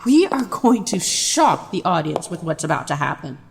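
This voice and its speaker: A woman's voice: low-pitched, very animated, moderate pace, gravelly, slight background noise, full character voice. A woman playing an encouraging, focused drama director in audio drama dialogue. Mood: encouraging, brisk.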